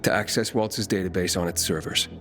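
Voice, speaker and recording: An actor playing a wary, driven young outsider hero in a video game, with terse, guarded delivery. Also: male voice, deep voice, steady tone, brisk, a bit bright. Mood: grim, probing. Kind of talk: video game dialogue acting. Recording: music behind the voice.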